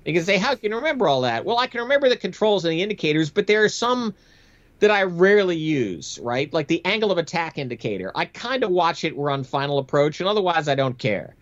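Middle-aged American male, veteran aviator as interview guest. Higher-set voice, conversational and matter-of-fact, slightly boxy lo-fi sound. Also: lively intonation, fast talker. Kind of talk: conversational storytelling.

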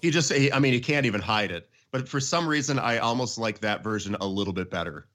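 Middle-aged man, lowish voice, enthusiastic chat. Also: fast talker, a bit bright. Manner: enthusiastic, emphatic. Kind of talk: casual music chat.

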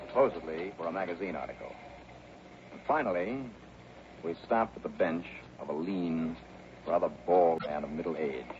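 An American actor playing a wry, confident private investigator who narrates his case in a brisk 1960s radio drama style. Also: low-pitched, noisy background, lightly acted. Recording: dull vintage radio recording with music.

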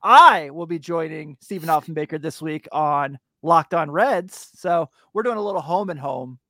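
A man hosting a casual sports podcast, hyped and exclamatory, with a raspy voice today. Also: a little high, bright, forceful tone, dry recording. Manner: hyped, exclamatory, drawn-out hype words. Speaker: podcast host.

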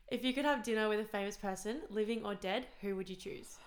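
A young woman co-hosting a podcast, talking in a soft, smooth, relaxed way, speaking close into a handheld mic. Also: mid-range voice, fast. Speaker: podcast co-host. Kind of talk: casual chat. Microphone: handheld mic held close to mouth.

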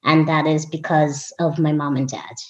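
A young woman from Ireland, a guest in an interview, talking in an unhurried, warm way with frequent ums. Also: low-pitched, fairly even intonation, highs cut off. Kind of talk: casual interview conversation.

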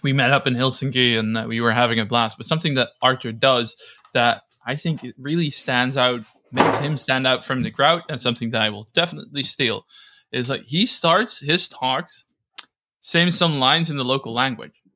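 A man's voice: mid-range voice, moderate pace, bright, forceful tone. A man hosting a podcast in casual conversation, enthusiastic and often repeating words as he starts a thought. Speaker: podcast host. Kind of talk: casual chat.

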